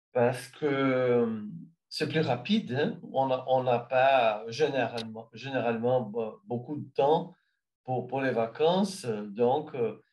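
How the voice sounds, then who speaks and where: Slow, hesitant Brazilian-accented man. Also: medium pitch, darkish tone. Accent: Brazilian Portuguese. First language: Brazilian Portuguese. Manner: hesitant, searching for words. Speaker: guest, French learner. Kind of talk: interview answers.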